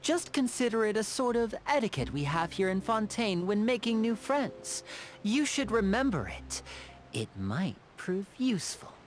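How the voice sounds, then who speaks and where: A woman playing a suave, courteous young man in a video game, smooth-talking, theatrical and playful. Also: mid-range voice, very animated, normal speed, a little hiss or hum, close to an everyday voice. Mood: charming, obliging. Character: suave young male magician, courteous, playful older brother. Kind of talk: English video game voice acting.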